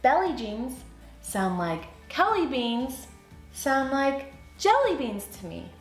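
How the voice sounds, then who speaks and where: A woman's voice: high voice, big pitch swings, slow pace, roomy sound, faint music behind, voice pushed up, heavily acted. A woman voicing eager, helpful schoolchildren in a storybook, confident and sing-song. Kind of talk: children's storybook read-aloud.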